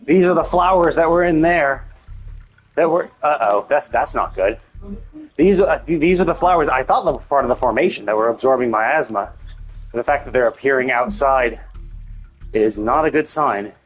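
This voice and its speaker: A man's voice: medium pitch, expressive, normal speed. A man casually commentating on a game as a live streamer, rambling and thinking aloud, bluntly and with some swearing. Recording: game music behind the voice. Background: game music.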